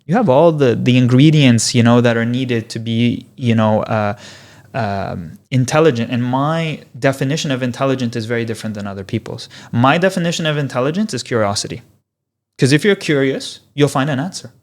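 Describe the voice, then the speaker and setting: Canadian man, 30s, Polish-born parents; lively explainer, rhetorical questions, mid-pitch. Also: fairly quick. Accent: Canadian English. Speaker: podcast guest, physiotherapist. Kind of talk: casual conversational interview.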